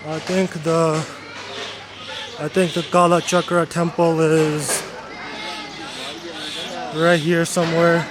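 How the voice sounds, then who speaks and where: A young-sounding man from Canada talks to his camera as he walks, slow and fairly even in tone. His voice sits a little high for a man. Faint street traffic hums behind him. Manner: relaxed, unhurried narration to camera. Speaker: vlogger. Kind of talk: casual walking vlog. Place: outdoors on narrow town streets.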